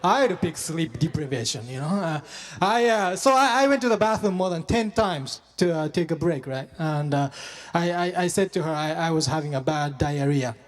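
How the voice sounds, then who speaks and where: Halting man doing stand-up. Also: on the high side, animated, in a large hall. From Japan. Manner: self-deprecating, halting delivery with many fillers and restarts. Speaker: stand-up comedian. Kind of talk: stand-up comedy set.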